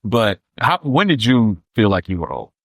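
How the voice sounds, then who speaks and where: Guy in his 40s, lively chatty storyteller, pitch all over, dry mic. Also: normal speed, no echo. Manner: animated, laughs often, acts out quotes in a high voice. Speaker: podcast co-host. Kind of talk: casual storytelling chat.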